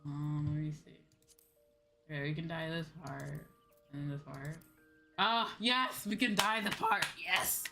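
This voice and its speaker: A woman's voice: deep voice, measured pace, pushed and bright. A woman streaming a game, chatting informally and thinking aloud as she plays, often dropping in "you know" and "like". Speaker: streamer playing an online game. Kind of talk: casual livestream chat, thinking aloud while playing. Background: music.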